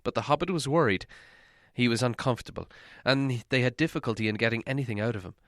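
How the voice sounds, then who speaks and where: A man reading a story aloud as an audiobook narrator, in an expressive style. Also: mid-range voice, fast talker, dry recording, clean studio sound. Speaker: audiobook reader.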